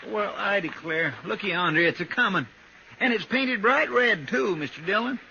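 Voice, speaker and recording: An earnest frontier deputy type, talks in a rural American drawl, a bit high in pitch, deferential yet prone to grumble. The old radio western sound is muffled and noisy. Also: male voice, animated, normal speed, gravelly. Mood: earnest, eager. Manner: homespun, earnest, complaining. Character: folksy, deferential, grumbling male sidekick to marshal. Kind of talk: 1950s radio western acting. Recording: dull vintage radio recording with noise.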